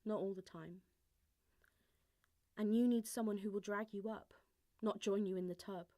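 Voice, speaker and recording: A woman performs spoken-word poetry at a middle pitch. Her delivery is very level, with little rise or fall. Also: fairly even intonation, moderate pace, warm tone. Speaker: poetry reader.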